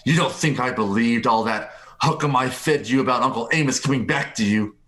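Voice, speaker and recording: A man's voice: mid-range voice, a little fast, a bit bright, close to an everyday voice. A man playing a scheming, gloating murderer with sneering menace in an amateur radio play reading.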